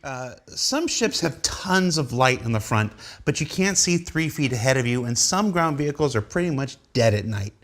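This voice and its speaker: A man's voice: medium pitch, expressive, moderate pace, few pauses, a bit bright. A man hosting a casual talk show, reading viewer questions and rambling into wry, self-deprecating stories. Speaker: host of a developer panel show.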